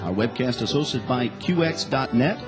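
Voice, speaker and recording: A man's voice: medium pitch, expressive, moderate pace. A man reading scripted closing credits as a radio announcer. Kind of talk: scripted broadcast credits read. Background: acoustic guitar music.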